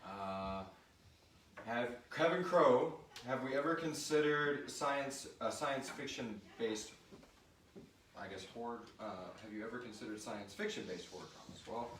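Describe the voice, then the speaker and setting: A man's voice: medium pitch, unhurried, a bit bright. A man hosting a casual live question-and-answer session, rambling informally and thinking aloud as he talks. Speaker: host and show creator reading listener questions. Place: live group recording in an echoey room. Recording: echoey room, distant mic, clear background noise.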